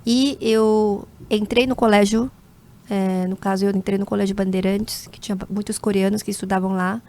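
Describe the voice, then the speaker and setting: A woman in her forties, born in South Korea, answers interview questions in an unhurried, considered way. She pauses often with small hesitations as she gathers her thoughts, speaking like a corporate lawyer. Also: medium pitch, fairly even intonation, a little mellow. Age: 40s. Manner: measured, with frequent hesitation fillers. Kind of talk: conversational interview.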